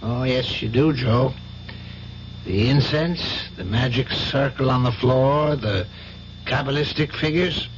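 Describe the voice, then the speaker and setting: An actor in a radio drama playing a grave, patient priest who admonishes in a solemn, measured way. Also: male voice, mid-range voice, close to an everyday voice. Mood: grave, admonishing. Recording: muffled old radio recording with hum.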